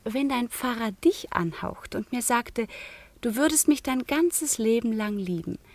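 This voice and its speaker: A woman's voice: a little high, animated, measured pace, a little mellow, no room sound. A woman acting an earnest, proud young noblewoman in a radio play, questioning in a composed, direct way. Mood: earnest probing. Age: young adult.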